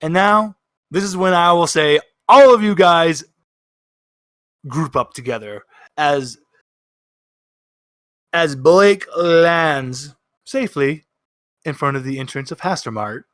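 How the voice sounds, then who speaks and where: A man narrating and improvising as game master of a tabletop game, animated and swearing freely. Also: fairly high pitch, slow, pauses often, dry recording.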